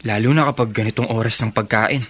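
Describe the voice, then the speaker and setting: A man playing a concerned, puzzled young elder brother in a radio drama, speaking in pensive, measured phrases. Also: lowish voice, steady tone, a bit bright, slight background noise, close to an everyday voice. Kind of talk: Filipino radio drama acting.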